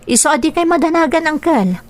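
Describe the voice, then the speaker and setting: A woman's voice: high voice, expressive, fairly quick. An actress playing a nephew's young girlfriend in a radio drama, courteous and accommodating. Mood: polite, welcoming.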